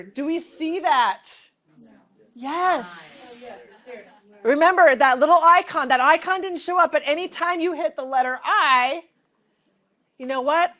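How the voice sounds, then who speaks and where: High-voiced woman teaching a workshop. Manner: energetic, questioning the room, checks with "okay?". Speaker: teacher trainer. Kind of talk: classroom demonstration. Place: large training room.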